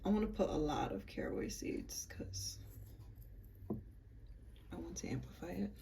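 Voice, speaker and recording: Gravelly-voiced woman casually guiding a spell. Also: fairly low pitch, lively intonation, dark tone, in a whisper, poor audio. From United States, Puerto Rican and Peruvian family. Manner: chatty and halting, many ums and likes. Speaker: witchcraft vlogger.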